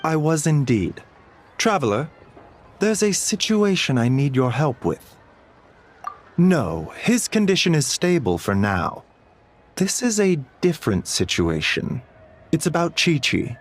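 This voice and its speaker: A man voicing a courteous, composed adult male physician in a video game, speaking in a measured, formal manner. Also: a little high, sing-song. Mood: calm concern. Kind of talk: animated video game voice acting.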